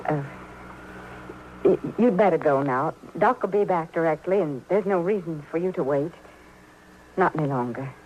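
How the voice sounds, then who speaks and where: An actress playing a sad, guarded frontier woman with halting American speech, in old-time radio western style. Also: sits low, lightly acted. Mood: sad, hesitant. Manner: halting, stammering, reticent. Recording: muffled, hissy old radio recording.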